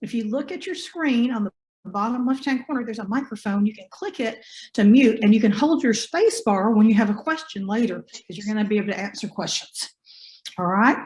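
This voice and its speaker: A hoarse woman with a slightly high voice teaches an online class over a video-call mic, folksy and encouraging. She keeps asking her students questions. The sound is roomy and echoey. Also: fairly quick, a little mellow. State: hoarse from bronchitis. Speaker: online business instructor. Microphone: computer mic on a video call.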